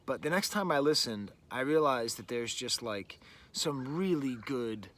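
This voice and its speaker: A man's voice: mid-range voice, animated, unhurried, fairly bright tone. A middle-aged man hosting a casual album review, talking in a rambling, chatty way full of "like" and "you know", outdoors with birds nearby. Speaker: music reviewer, show host.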